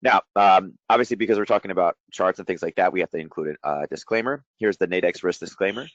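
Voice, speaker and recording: A middle-aged man with a deep, slightly strained voice, hosting an informal, chatty and explanatory trading webinar. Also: fast, no room sound, dull audio. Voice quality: voice deeper than usual from shouting. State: strained voice after a day of loud coaching. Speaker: trading educator hosting a market breakdown.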